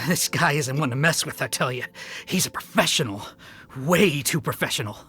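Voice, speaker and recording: A man's voice: medium pitch, moderate pace, pushed and bright, faint music behind. A man voicing a skeptical, wary bandit henchman in a video game, doubting and emphatic.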